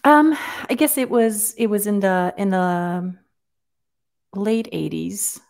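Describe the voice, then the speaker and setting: A woman's voice: mid-range voice, slow pace, vocal fry, a little mellow. A woman from Serbia, a native Serbian speaker, talking casually as an interviewed co-host on a headset video call. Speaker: podcast co-host being interviewed. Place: indoor room, on a video call.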